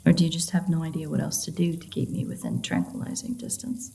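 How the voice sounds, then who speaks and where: A woman's voice: low voice, big pitch swings, fast, vocal fry, dark tone, heavily acted. A woman playing a sardonic, free-spirited, flirtatious female vampire in an intimate audio roleplay, teasing and swearing as she goes. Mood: sarcastic, teasing.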